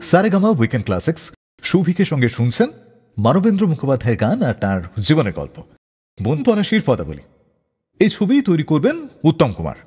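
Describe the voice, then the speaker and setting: A man's voice: a little high, wildly swooping pitch, fairly quick, a little mellow, husky. A man hosting a radio show, telling stories between songs with dramatic phrasing. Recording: long reverb, slightly blurred voice, faint music. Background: faint music.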